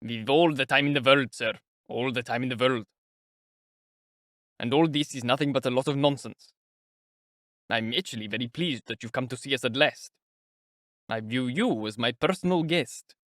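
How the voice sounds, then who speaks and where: A man's voice: mid-range voice, fairly bright tone, dry recording, clean studio sound, lightly acted. A man performs a cunning investigator from a storybook novel. He clucks and fusses with effusive politeness, nervy and ingratiating, sounding sly beneath the joviality. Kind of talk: audiobook character acting.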